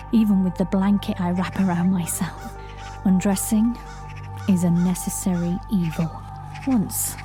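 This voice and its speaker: Actress as haunted shapeshifting folk singer lady, slow n mellow, audio drama monologue, lyrical and intense. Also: medium pitch, natural voice. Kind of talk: audio drama monologue, first-person narration.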